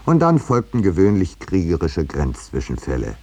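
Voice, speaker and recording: An actor playing an urbane, slightly pompous middle-aged painter who tells his story with measured irony in a 1950s radio play. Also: sits low, huge pitch swings, a little mellow, slightly rough, exaggerated voice. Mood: wry, reflective. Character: landscape painter Eduard Merlin, urbane wry storyteller, looking back.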